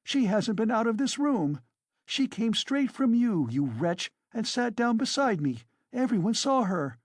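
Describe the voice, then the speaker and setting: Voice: mid-range voice, big pitch swings, a little slow, no echo, clean studio sound, pitched above the performer's own voice. A reader voicing a frantic, defiant widow who shrieks and accuses in hysterical fury, as an audiobook character.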